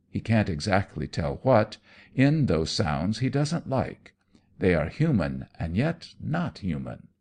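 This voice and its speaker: Deep voiced guy narrating a spooky audiobook, bit gravelly, really theatrical and suspenseful. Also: darkish tone. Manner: theatrical, suspenseful storytelling with emphatic repetitions. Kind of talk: dramatic scripted narration of gothic fiction.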